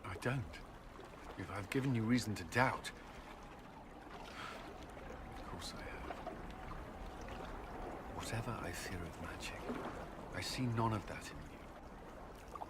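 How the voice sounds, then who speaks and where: A low voiced ex-templar commander in a video game, earnest and haunted, kinda halting, noisy background. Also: male voice, lively intonation. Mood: tender, reflective. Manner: hesitant, halting, earnest, formal phrasing. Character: earnest, guilt-haunted former Templar military commander, man. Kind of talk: dramatic video game voice acting.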